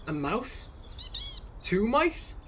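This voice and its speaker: A man's voice: a little high, animated, moderate pace, a bit bright. A man playing a reserved, polite teenage boy who works as a kitchen helper, in a children's audio drama. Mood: curious, guarded. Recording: clear background noise.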